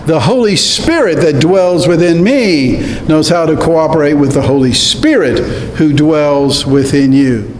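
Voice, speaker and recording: A man's voice: a little slow, hardly any pauses. An older man, a pastor, preaching an earnest sermon at a pulpit in a church, rising to emphatic peaks. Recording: reverberant church hall with faint rumble.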